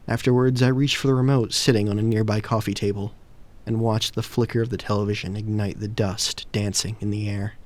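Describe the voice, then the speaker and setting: A man acting as an uneasy protagonist who narrates a surreal audio drama in deliberate, literary, descriptive phrases. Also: fairly low pitch, slight background noise, natural voice. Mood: measured, descriptive. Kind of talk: scripted first-person audio drama narration.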